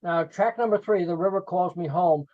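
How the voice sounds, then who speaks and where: A host asking short, casual questions about an album track by track, with brief affirming replies. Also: male voice, high voice, normal speed, narrow, tinny audio. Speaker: interview host. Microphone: video call.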